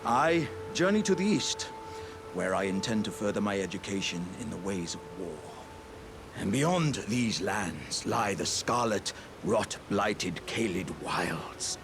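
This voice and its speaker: A living warrior jar from a fantasy video game, jovial and boastful yet unfailingly polite. The delivery is unhurried and theatrical, swinging widely in pitch, with a gravelly grain. His phrasing is courtly and eager. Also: expressive, over music. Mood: cheerful, eager. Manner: hearty, courtly, old-fashioned theatrical phrasing. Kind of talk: video game character dialogue.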